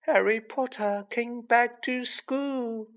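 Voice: mid-range voice, steady tone, slow, pitched above the performer's own voice. A storybook reader voicing a small, miserable, servile male house elf who pleads and refers to himself in the third person. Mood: miserable, pleading.

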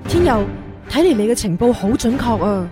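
A woman's voice: on the high side, very animated, moderate pace. An actress playing a plucky, sarcastic young woman in a radio drama, speaking with a Hong Kong Cantonese accent. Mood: businesslike. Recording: music bed under the dialogue.

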